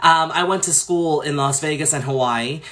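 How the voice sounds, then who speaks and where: A man from Long Island, New York, chatting casually on a livestream as host, talking fast and gushing expressively as he answers viewers. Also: a little high, fairly bright tone. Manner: fast talker.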